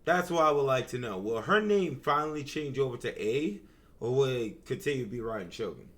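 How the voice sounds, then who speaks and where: A young man doing casual live reaction commentary as a game streamer, talking in slangy, animated bursts. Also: mid-range voice, a little fast.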